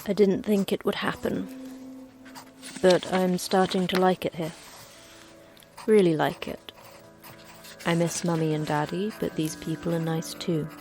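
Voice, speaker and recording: A woman playing a sincere, wistful girl in an audio drama monologue, soft and confiding. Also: lowish voice, little pitch movement, a little slow, pauses often, faint music behind. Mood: wistful, hopeful.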